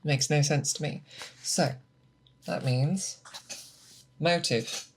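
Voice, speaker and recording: A performer in an ASMR roleplay playing a patient, methodical monster hunter who mentors an apprentice, speaking deliberately and coaxing with questions. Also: medium pitch, slight background noise. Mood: calm, focused. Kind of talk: ASMR roleplay, scripted character monologue.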